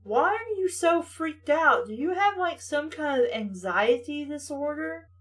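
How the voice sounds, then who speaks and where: A woman's voice: sits high, lively intonation, measured pace, a bit bright. A woman reading a story aloud and riffing on it casually, with a blunt, sarcastic, sweary manner. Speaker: host reading a book aloud with critical commentary.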